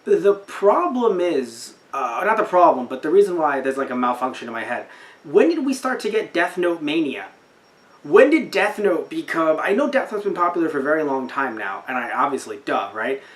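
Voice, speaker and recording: A man's voice: on the high side, big pitch swings, moderate pace. A young man podcast host chatting casually about anime in a rambling, incredulous way, often repeating himself. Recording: roomy echo, distant from mic.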